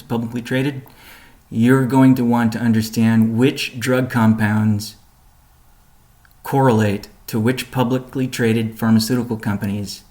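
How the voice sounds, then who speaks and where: A man presenting a company update on a conference call, explaining in a measured way with deliberate pauses. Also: mid-range voice, darkish tone.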